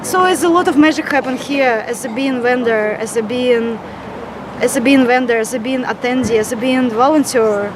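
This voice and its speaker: A woman with a Russian accent speaks effusively in a slightly high voice, often hunting for the right words. Loud outdoor noise surrounds her. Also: normal speed. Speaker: vendor at a festival. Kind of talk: casual on-camera introduction.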